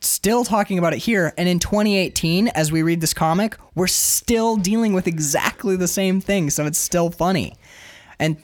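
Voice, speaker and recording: A man's voice: high-pitched, moderate pace, no echo, clean studio sound. A man co-hosting a comic book discussion in an emphatic, opinionated and sometimes profane casual style.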